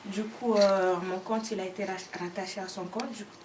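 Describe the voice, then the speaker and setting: A woman's voice: mid-range voice, steady tone, moderate pace. A woman, a student talking casually in an interview. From Mali. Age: 20s. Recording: echoey room, distant mic, faint noise.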